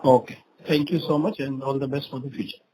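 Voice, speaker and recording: A man's voice: medium pitch, steady tone, fairly quick, mellow. A man with an Indian accent asking questions as an analyst on an earnings call, in hesitant, stop-start phrases. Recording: faintly noisy conference call.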